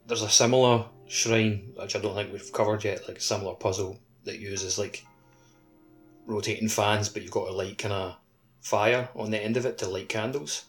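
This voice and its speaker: A man's voice: fairly low pitch, steady tone, moderate pace, faint music behind. A man co-hosting a casual video game podcast, speaking informally and in a laconic way.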